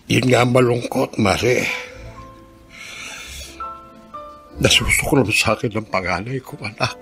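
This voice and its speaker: An actor playing an ailing, remorseful old father, plaintive and halting, in a Filipino radio drama. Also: mid-range voice, vocal fry, fairly bright tone, faint music behind. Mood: sorrowful. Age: older.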